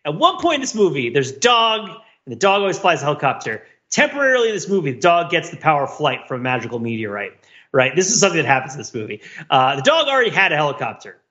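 Animated, high-pitched man riffing. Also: quick pace, lo-fi sound. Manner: rambling, animated riffing with piled-up asides. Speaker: podcast co-host. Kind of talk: casual comedic film discussion.

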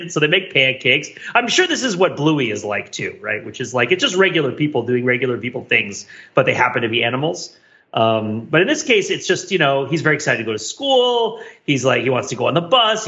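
A man's voice: a little high, sharp tone, lo-fi sound. A middle-aged American man chatting casually and at rambling length as a podcast co-host.

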